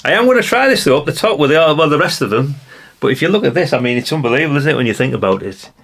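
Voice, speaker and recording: A man's voice: a little high, very animated, rapid-fire, creaky, a bit bright. A British man giving a casual hands-on walkthrough as a presenter, chatty and rambling, often tagging questions onto his remarks. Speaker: presenter of a vintage radio channel.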